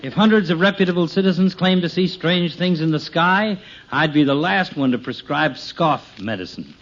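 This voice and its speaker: A man's voice: on the high side, moderate pace. A man playing a dry, bantering middle-aged town doctor with mock dignity in an old radio comedy. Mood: dignified banter. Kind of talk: 1950 radio comedy acting. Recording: noisy, muffled old radio recording.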